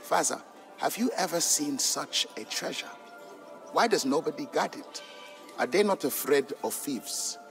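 A man's voice: on the high side, lively intonation, unhurried, vocal fry, fairly bright tone, pitched above the performer's own voice, full character voice. A man voicing a curious young country boy who asks his father eager questions in a game's story. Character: curious, wide-eyed young country boy, the trader's son. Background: background music.

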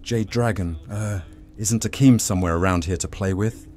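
A man's voice: lowish voice, moderate pace, darkish tone, lightly acted. A man playing a sardonic, flirtatious Roman legate with a dry, teasing manner in a fantasy audio drama.

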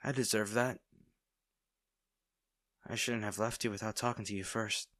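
A man's voice: lowish voice, steady tone, normal speed, no room sound, close to an everyday voice. Man playing a hesitant, smitten villain, close-up. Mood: apologetic, tender. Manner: hesitant, stammering, soft-hearted. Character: smitten masked male villain, nervous, tender, teasing. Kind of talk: intimate audio roleplay, addressing the listener.

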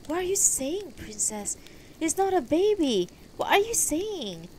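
A woman voicing a gentle, protective elderly husband in a fantasy story, earnest and soothing. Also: extremely high pitch, big pitch swings, a little slow, a little hiss or hum, pitched above the performer's own voice, exaggerated voice. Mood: concerned.

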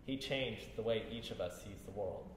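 Guy with a lowish voice reading out loud in a super echoey hall, some noise behind him. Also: normal speed. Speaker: librarian reading at a public event. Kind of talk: reading prepared texts aloud. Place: large reverberant room at a library event.

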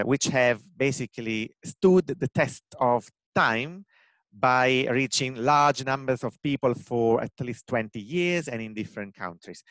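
A man's voice: mid-range voice, a bit bright, no room sound, poor audio. Italian-accented man on a headset. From Italy, Rome. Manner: earnest, many fillers and restarts. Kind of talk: video call interview. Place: home room with bookshelves.

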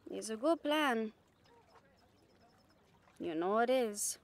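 A woman's voice: a little high, lively intonation, takes it slowly. A woman playing a fierce, loyal catgirl pirate first mate in a roleplay audio drama, earnest and plain-spoken. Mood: determined, defiant. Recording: voice over background music. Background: music.

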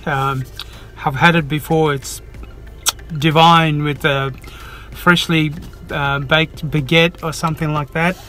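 A man's voice: fairly high pitch, flat delivery, takes it slowly, pushed and bright, faint music behind. A man chatting casually and informally to his viewers as a vlogger, with the odd mild swear. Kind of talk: casual vlog chat to viewers.

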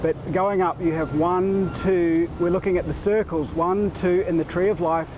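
A man giving an explanatory commentary on location, speaking in a halting, deliberate way into a handheld camera as he points things out. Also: high-pitched, steady tone. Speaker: vlogger narrating his own walking video. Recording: noisy handheld recording, city traffic and ambience. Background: street and ambient noise.

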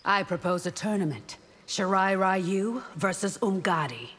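Proud royal bodyguard, husky, confident, echoey game dialogue. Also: female voice, mid-range voice, lively intonation, unhurried, fairly bright tone. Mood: proud, guarded. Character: proud, guarded female warrior-guard of royal order. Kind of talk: dramatic fighting game dialogue. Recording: reverberant hall-like game mix.

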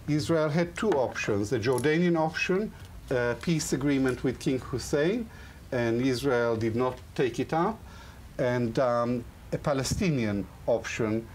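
Measured man arguing in a lecture. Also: mid-range voice, a little hiss or hum. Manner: measured, argumentative delivery. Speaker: speaker on a lecture panel. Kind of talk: prepared lecture talk.

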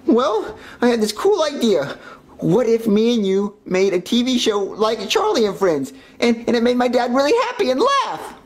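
A whiny little kid, high voice, naive and pleading, trips over words, super bouncy pitch. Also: male voice, sing-song, moderate pace. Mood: whiny complaint. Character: naive, whiny young boy, earnest son. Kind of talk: comedy skit character acting.

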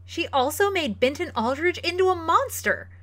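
A woman's voice: extremely high pitch, expressive, normal speed, fairly bright tone. A woman playing an indignant, argumentative, judgmental character in an audio drama. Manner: combative.

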